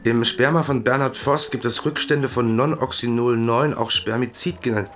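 An actor playing a practical police detective in a crime radio drama, matter-of-fact in tone. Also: male voice, medium pitch, fairly even intonation, normal speed, over music, close to an everyday voice. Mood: thoughtful. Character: male police detective, practical colleague and narrator.

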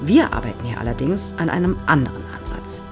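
Casting: middle-aged research psychologist, lowish voice, polite and keenly probing, naturalistic audio drama, music underneath. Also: female voice, expressive, quick pace. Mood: polite, explaining. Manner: polite, explanatory, keenly interested. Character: eager, probing female research psychologist, no longer young.

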